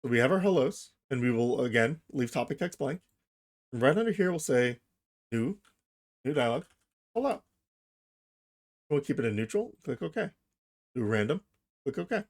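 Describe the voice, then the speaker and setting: A man narrating a casual software tutorial, explaining things informally, step by step, as its host. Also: mid-range voice, very animated, moderate pace, long pauses.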